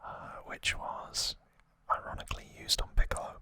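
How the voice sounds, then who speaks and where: A man softly whispering an ASMR recap in a breathy, unvoiced voice, with an unhurried, halting delivery and pauses. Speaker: ASMR host.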